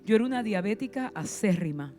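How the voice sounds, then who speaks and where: A woman with a Puerto Rican accent preaches with fervor, firing rhetorical questions and punching key words. Her voice sits in a middle pitch with a dark, mellow tone. Faint instrumental music plays beneath her. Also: animated, brisk. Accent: Puerto Rican Spanish. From Puerto Rico. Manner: passionate preaching with rhetorical questions and sudden emphasis. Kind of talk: sermon.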